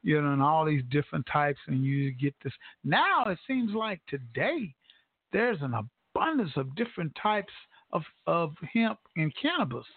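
A man's voice: fairly high pitch, sing-song, normal speed, no echo. A man hosting a casual talk show, chatty and prompting, often repeating his guest's words. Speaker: host. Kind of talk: casual interview chat. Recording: dry tinny phone-like sound.

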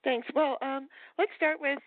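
A woman's voice: high-pitched, fairly even intonation, measured pace, no room sound. Woman interviewer on a phone-like line. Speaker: podcast host and interviewer. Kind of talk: conversational interview. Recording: thin phone-like audio.

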